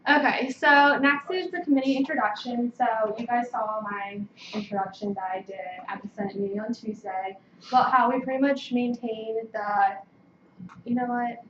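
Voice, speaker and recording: A woman's voice: fairly high pitch, fairly even intonation, moderate pace, a bit bright. Young American woman, distant and echoey. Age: young adult. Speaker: student government committee chair. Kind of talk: informal meeting talk. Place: meeting room. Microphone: distant room microphone. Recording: distant muffled mic, echoey room, noisy.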